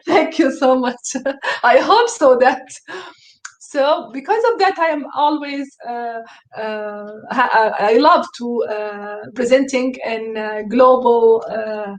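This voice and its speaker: A fairly high-voiced woman whose first language is Arabic walks teachers through a screen-share tutorial. She speaks slowly and hesitantly, with many fillers and restarts, and sounds enthusiastic. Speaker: teacher presenting.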